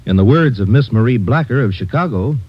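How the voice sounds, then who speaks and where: A man's voice: mid-range voice, animated, a little fast, slight background noise. A man as a commercial announcer, delivering a scripted 1950s radio advertisement with emphatic salesmanship. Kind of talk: 1950s radio cigarette ad pitch. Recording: dull vintage radio recording.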